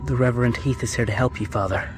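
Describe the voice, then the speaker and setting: A man's voice: mid-range voice, fairly even intonation, fast, a little hiss or hum, close to an everyday voice. Anxious young prisoner played by a man. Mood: worried. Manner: hesitant, earnest. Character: anxious, earnest young American male prisoner, devoted son. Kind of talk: radio drama acting.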